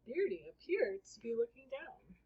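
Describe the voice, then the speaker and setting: A woman reading a children's book aloud as an expressive first-person storyteller. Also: sits high, normal speed, highs cut off, a little hiss or hum.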